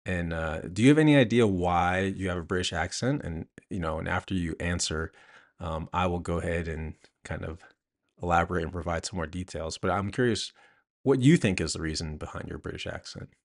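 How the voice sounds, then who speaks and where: A man with an American accent talks in a deep register. He is hosting a podcast in a loose, unscripted way, and his phrasing is halting as he feels out his words. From United States. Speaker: podcast host. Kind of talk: casual unscripted talk.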